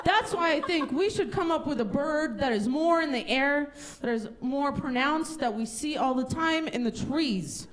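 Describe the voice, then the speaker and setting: A woman's voice: sits high, lively intonation, moderate pace, few pauses. A woman performing a chatty, self-mocking stand-up comedy set on a stage in front of a live audience. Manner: chatty, self-mocking, loud character bits. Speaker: stand-up comic. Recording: audience noise in a live venue. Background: audience laughter.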